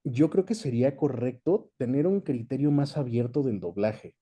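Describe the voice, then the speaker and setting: A man's voice: medium pitch, lively intonation, normal speed, warm tone, husky, dull audio. A man with a Mexican accent chatting casually as an interview guest, recounting his experience. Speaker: guest, dubbing actor and director.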